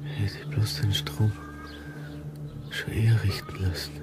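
An actor playing a broken, distraught farmer in a radio crime drama. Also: male voice, on the low side, level tone, unhurried, loud music behind the voice, natural voice. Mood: broken, guilt-ridden. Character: grieving farmer son, broken, guilt-ridden. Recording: loud ambient noise over the voice.

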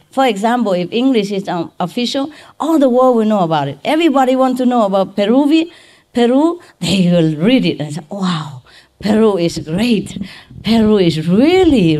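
A middle-aged woman with a Vietnamese accent giving an informal lecture in simple, halting English, checking in with her listeners. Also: a little high, warm tone. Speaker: spiritual teacher giving a lecture. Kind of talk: informal spoken lecture to a gathering. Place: large hall or tent with seated audience. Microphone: microphone on a boom stand.

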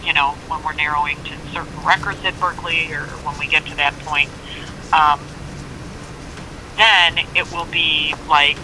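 An American government records officer explaining things informally and haltingly over a phone speaker, in a helpful way. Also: medium pitch, fairly even intonation. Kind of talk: casual explanatory phone conversation. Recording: noisy speakerphone call picked up outdoors.

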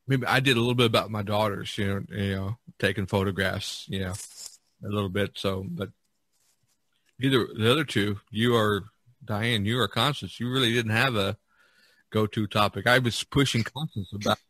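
A man with a bright, forward voice and a dry, close sound. He hosts in a casual, jokey style, rambling from one thought to the next. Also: fairly low pitch, fairly even intonation, moderate pace, dry recording. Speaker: podcast host. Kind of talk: casual, chatty discussion. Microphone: online video call.

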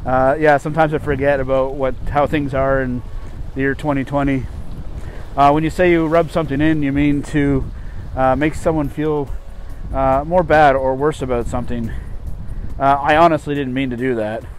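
A man's voice: medium pitch, fairly even intonation, normal speed, a little hiss or hum. A man in his forties teaching English in a casual, explanatory way through scripted conversation.

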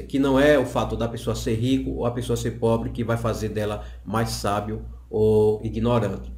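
A man's voice: mid-range voice, darkish tone. A Brazilian man in his thirties explaining a Bible lesson in a didactic way, repeating phrases for emphasis. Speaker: Bible teacher. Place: small indoor office. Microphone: condenser microphone on the desk. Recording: roomy, echoing small office.

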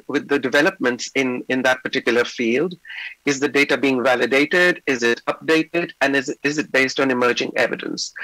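Middle-aged male lecturer, slightly hoarse, steady filler-laden delivery, dry close sound over a video call. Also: fairly high pitch, no room sound, low-quality recording. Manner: measured, many fillers. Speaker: invited lecturer. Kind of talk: remote conference lecture. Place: indoor office.

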